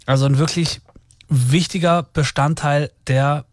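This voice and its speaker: A man's voice: a little high, fairly even intonation, a little slow, no room sound, studio quality. A man hosting a radio true-crime podcast, who moderates in a structured way, sums things up and lightly teases his co-host. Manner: structured, summarizing, lightly teasing.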